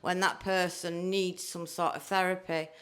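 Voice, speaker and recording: A woman's voice: fairly low pitch, little pitch movement, normal speed, a bit bright. Chatty woman interviewer. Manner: agreeable, chatty, frequent tag questions. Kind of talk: conversational interview.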